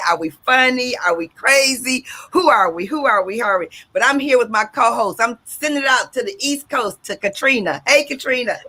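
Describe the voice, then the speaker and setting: Bright punchy radio host lady, bubbly, keeps repeating stuff. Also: a little high. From Detroit, USA. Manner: exuberant, sing-song, repeats phrases for emphasis. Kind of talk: upbeat talk radio hosting.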